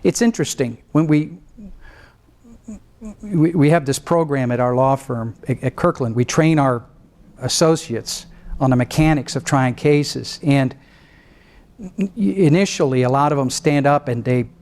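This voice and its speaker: A middle-aged man, a trial lawyer, lecturing law students in an animated way, swinging between a low calm voice and sudden raised mimicry. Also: a little slow, long pauses. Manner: animated, mimics other lawyers with rising pitch, then drops low to show restraint.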